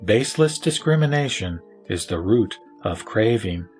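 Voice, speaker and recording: Man doing a wise solemn sage giving teachings, lowish voice, music underneath. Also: animated, normal speed, lightly acted. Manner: solemn, formal. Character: Vimalakirti, wise male lay teacher. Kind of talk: audio drama sutra recitation.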